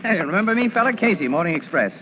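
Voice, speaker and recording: An American actor in 1940s radio style playing an easygoing, friendly crime photographer who talks in casual slang. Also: male voice, high voice, very animated, quick pace, a little hiss or hum. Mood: cheerful. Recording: muffled 1940s radio broadcast, music.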